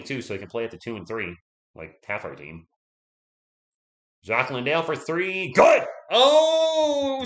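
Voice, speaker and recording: A man's voice: fairly high pitch, fairly bright tone, reverberant. A man hosting a live fan play-by-play stream, chatty and loose, reading viewer comments and rooting for his team while losing his voice.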